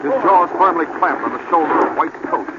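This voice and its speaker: A man's voice: fairly high pitch, expressive, fairly quick. A man narrating a story in the dramatic scripted style of old-time radio. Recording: noisy, muffled vintage radio recording.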